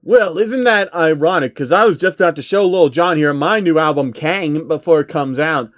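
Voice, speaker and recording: A man's voice: fairly high pitch, normal speed, highs cut off, close to an everyday voice. A man voicing a cocky, boastful male rapper talking in street slang.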